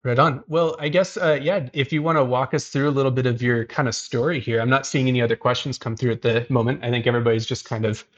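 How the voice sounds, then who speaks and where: A man's voice: mid-range voice, steady tone, quick pace, hardly any pauses, lo-fi sound. A man hosting an art livestream, talking casually with many fillers and restarts. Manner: casual, filler-heavy, frequent "like" and "um". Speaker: host. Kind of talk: livestream chat.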